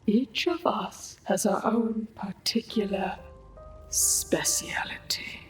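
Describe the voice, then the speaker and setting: An actress playing a mysterious, coolly persuasive immortal woman in a dark fantasy audio drama, speaking deliberately with hushed, breathy phrase endings. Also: mid-range voice, faint music behind, close to an everyday voice. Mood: coolly alluring.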